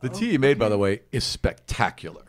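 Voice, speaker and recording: A man's voice: medium pitch, wildly swooping pitch, fairly quick. A man improvising narration as game master of a tabletop fantasy game.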